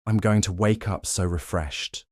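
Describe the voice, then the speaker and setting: Male sleep meditation guide: very deep, unhurried, soothing and gently instructive. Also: dry recording, studio quality. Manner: soothing, gently instructive, short measured phrases.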